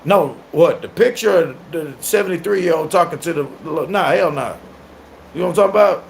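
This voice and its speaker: A man in his thirties hosts a livestream and talks back to his viewers' comments in a loud, animated, crude way, laughing often. His voice sits a little higher than most men's, with a faint hiss of noise behind it. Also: a little fast, vocal fry, rough recording. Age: 30s. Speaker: livestream host. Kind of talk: casual livestream chat, reacting to viewer comments.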